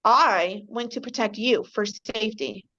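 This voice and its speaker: A sly, sardonic male baboon voiced by a woman holds court in a homemade radio play. Her slightly high voice stays dry and dismissive, and the recording sounds dull and lo-fi. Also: measured pace, bright, forceful tone, exaggerated voice. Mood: scheming. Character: clever scheming male baboon. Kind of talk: amateur radio drama table read.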